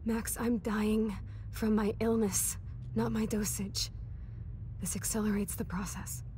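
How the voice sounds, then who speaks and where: An actress playing an ailing young American woman in a video game, soft and casual, sentimental and weary as she talks to a friend. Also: mid-range voice, little pitch movement, measured pace, slight background noise, close to an everyday voice. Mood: pleading, insistent. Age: young adult. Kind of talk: naturalistic video game cutscene acting.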